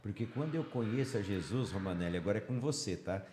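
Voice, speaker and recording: In a very roomy recording with faint noise, a middle-aged Brazilian man speaks in a low voice. He hosts a religious discussion in an engaging, conversational teaching style, often asking for agreement. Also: animated, fast, a little mellow. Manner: engaging, seeks agreement with tag questions. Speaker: Bible study host.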